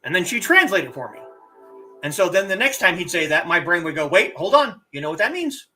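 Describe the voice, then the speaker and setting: A middle-aged martial arts teacher chatting casually on a live stream, reading viewer comments and sounding out words with animated emphasis. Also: mid-range voice, faint music behind. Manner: animated, drawn-out demonstrations of word sounds.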